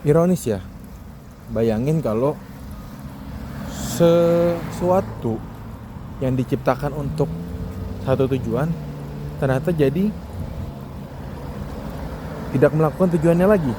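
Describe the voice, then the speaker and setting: A man's voice: a little high, slow pace, pauses often. A man around thirty, rambling casually in a conversational solo monologue full of rhetorical questions. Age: 20s or 30s. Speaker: solo podcast host. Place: outdoors, walking along a street. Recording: outdoor urban noise, passing vehicles. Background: clear traffic and ambient noise.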